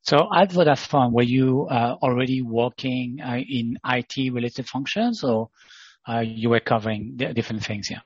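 A man hosts a podcast interview, his voice husky and mid-pitched. He asks his questions haltingly, with frequent fillers, in a dry and close sound. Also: dry recording, lo-fi sound. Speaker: podcast host.

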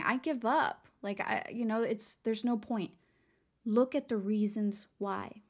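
Young woman podcast host, somewhat high voice gone raspy, chatty and upbeat, talks to listeners like friends. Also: darkish tone. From San Antonio, Texas. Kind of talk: casual motivational podcast talk.

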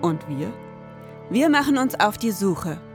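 A woman's voice: medium pitch, very animated, a little slow. A woman in her forties co-hosting a scripted, performative podcast with an explanatory delivery. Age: 40s. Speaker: podcast co-host. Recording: long reverb, music underneath.

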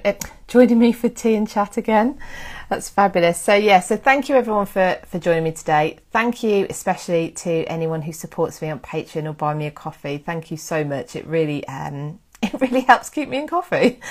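A woman hosting a casual history live stream, chatty and excitable, thanking viewers by name. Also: mid-range voice. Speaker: history livestream host.

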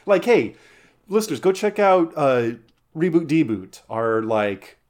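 A man's voice: fairly high pitch, expressive, takes it slowly, darkish tone. Rambling, jokey male podcast co-host. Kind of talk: casual podcast banter.

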